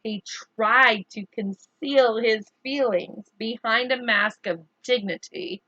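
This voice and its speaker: A woman storyteller reads to children at an unhurried pace. Her voice is bright and clear-cut, with expressive, deliberate phrasing, recorded dry and close. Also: on the high side, no room sound, lo-fi sound. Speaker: read-aloud storyteller for children. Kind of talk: children's chapter book read aloud.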